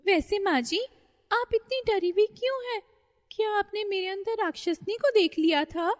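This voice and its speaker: A woman's voice: very high-pitched, normal speed, big-room sound, voice pushed up. A woman voicing a defiant, scheming young daughter-in-law in a cartoon story. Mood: sly. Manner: sharp, shouting.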